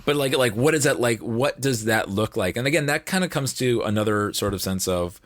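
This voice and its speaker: A man's voice: medium pitch, animated, fairly quick, dry recording, studio quality. A man who works as an audio drama producer, talking casually as a podcast guest and rambling as he thinks aloud.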